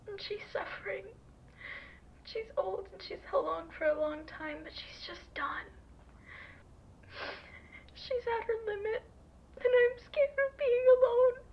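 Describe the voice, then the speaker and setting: A woman playing a grieving, lonely ex-partner who pleads softly and tearfully in an ASMR roleplay. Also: very high-pitched, a little hiss or hum, full character voice. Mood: tearful, afraid. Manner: soft, breathy, tearful pleading. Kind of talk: intimate ASMR roleplay acting. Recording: muffled, distant-sounding audio.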